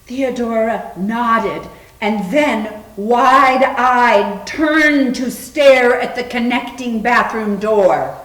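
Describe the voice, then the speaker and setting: Slow, high-voiced woman narrating in echoey room. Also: fairly bright tone. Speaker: narrator in readers theater. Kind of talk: dramatic scripted narration.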